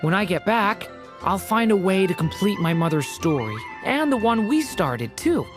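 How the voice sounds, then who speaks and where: An actor voicing a gentle, shy young dragon boy in a game, soft-spoken and hesitant. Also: high voice, sing-song, normal speed, over music. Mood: touched. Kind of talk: game voice acting.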